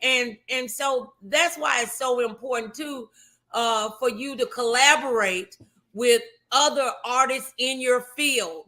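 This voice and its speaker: A woman's voice: high voice, sharp tone. A middle-aged American woman hosting a casual motivational talk show, speaking in an emphatic, encouraging way.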